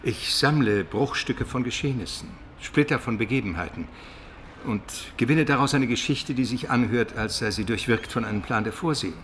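An actor playing a curious, learned chronicler in a radio play, speaking in a measured, deliberate way. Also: male voice, mid-range voice, fairly bright tone, slight background noise, close to an everyday voice. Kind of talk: radio drama acting.

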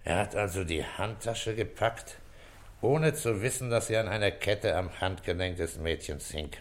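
A shrewd senior inspector played by a male actor speaks in a low voice, laying out his reasoning with deliberate authority. He sounds weary and paternal, in the manner of an old radio crime play. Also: lightly acted. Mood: probing. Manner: deliberate, authoritative, explaining step by step. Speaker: police inspector. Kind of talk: old German radio crime drama acting.

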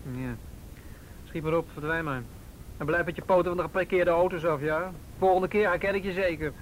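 A policeman in a radio play, played by a male actor, speaking in a somewhat high male voice. He banters drily and persists without getting ruffled. There is faint noise behind him. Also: steady tone, fairly quick, close to an everyday voice, low-quality recording. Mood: stern. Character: young off-duty policeman, teasing, persistent, unflappable. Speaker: police officer of youth and vice squad. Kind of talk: naturalistic radio drama acting.

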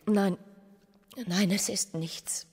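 An actress playing an unhappy, yearning young provincial wife in a radio drama, with a melancholy, inward manner. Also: female voice, medium pitch, steady tone, drawn-out words, a little mellow, slightly rough, no room sound, studio quality. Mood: suffering, withdrawn. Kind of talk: German radio drama acting.

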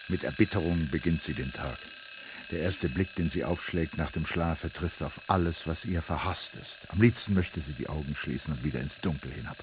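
A man with a very deep, heavily husky voice narrates a literary radio drama. His delivery is grave and emphatic, with weighted pauses. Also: dry recording.

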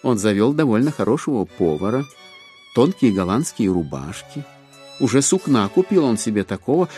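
A man giving an expressive, wryly ironic theatrical narration of a classic novel. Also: mid-range voice. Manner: expressive, storytelling with wry irony.

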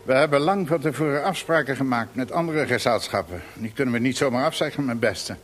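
Guy acting unmoved theatre boss in a radio drama, medium pitch, patronising and firm. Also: animated, fairly quick, a little hiss or hum, close to an everyday voice. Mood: dry, unmoved. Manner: matter-of-fact, patronising, firm. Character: unmoved, condescending male theatre manager.

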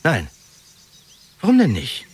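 An actor playing an enthusiastic, persuasive young student with a casual, slangy way of talking in a radio drama. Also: male voice, a little high, huge pitch swings, slow pace, darkish tone, breathy edge, heavily acted. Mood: eager, persuasive. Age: young adult. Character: enthusiastic scheming young student friend turned would-be manager. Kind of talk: naturalistic German radio drama dialogue.

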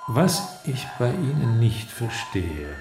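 An actor playing a stern East German state interrogator in a radio play, gravelly, measured and reproachful in his lecturing. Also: medium pitch, sing-song, full character voice. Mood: cold, probing. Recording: echoey room, music underneath.

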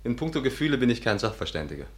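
An actor in a 1950s German radio play playing a cynical, impatient man with a deadpan, sardonic manner. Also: medium pitch, rapid-fire, lightly acted. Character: dry, cynical, impatient male companion.

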